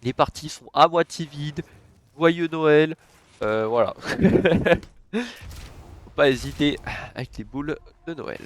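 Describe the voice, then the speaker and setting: A young man with a cold and a stuffed-up nose, casually commentating his game, chatty and cursing, with sudden excited yelps. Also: fairly high pitch, slow, slight background noise. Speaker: gaming YouTuber.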